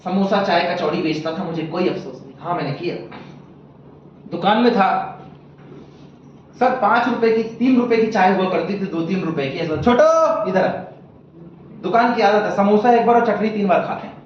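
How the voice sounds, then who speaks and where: A man's voice: high-pitched, moderate pace, long pauses. A man in his twenties giving a motivational stage talk to an audience, telling stories animatedly, acting out voices and sounds, and mixing English phrases into his speech. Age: 20s. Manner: animated storytelling, acting out voices and frog croaks; mixes English phrases into Hindi. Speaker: motivational speaker. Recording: echoey hall, muffled, light noise.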